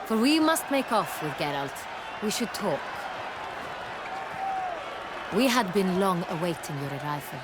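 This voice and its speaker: An actress playing an imperious, effusive young duchess, speaking in a regal, precise, theatrical manner. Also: female voice, fairly low pitch, animated, normal speed, heavy background noise, lightly acted. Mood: delighted, commanding. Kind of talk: theatrical game dialogue. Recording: music and crowd behind the voice.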